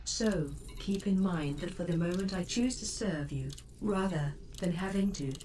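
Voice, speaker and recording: A woman voicing a self-aware female ship computer in a sci-fi game, polite and superior, speaking in formal, measured, articulate sentences. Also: on the low side, a little mellow, natural voice. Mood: cool, proud. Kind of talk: scripted game voice assistant lines. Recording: distant, with faint background noise.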